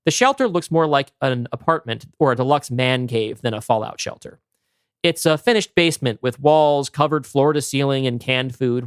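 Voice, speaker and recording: A man's voice: mid-range voice, smooth, no room sound, studio quality. A man co-hosting a podcast, talking casually and narrating a script he wrote. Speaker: podcast co-host and writer.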